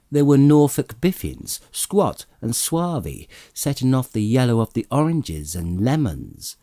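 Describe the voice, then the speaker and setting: Expressive male storyteller, low and dark-toned. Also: dry recording, studio quality. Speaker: audiobook narrator. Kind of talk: expressive literary storybook narration.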